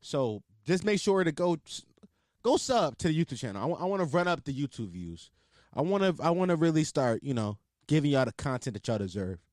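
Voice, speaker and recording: Lively Philly-accented podcast host, a man. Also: fairly high pitch, fairly quick, dry recording, clean studio sound. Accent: Philadelphia. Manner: rambling and profane, full of filler words, laughs at his own jokes. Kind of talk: casual solo podcast chat.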